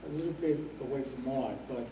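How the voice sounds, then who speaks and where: A man's voice: medium pitch, fast talker, a crowd in the background. An older man from Puerto Rico introducing himself at a club meeting, hesitant and full of fillers. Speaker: new member introducing himself. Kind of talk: casual self-introduction. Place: meeting hall. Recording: echoey hall, distant noisy mic.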